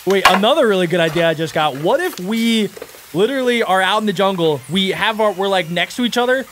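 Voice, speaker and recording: A man's voice: high voice, lively intonation, fairly quick, background noise. A young man co-hosting a casual video reaction chat, joking and rambling at a studio mic in a small room. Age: young adult. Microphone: studio mic on arm, earbuds.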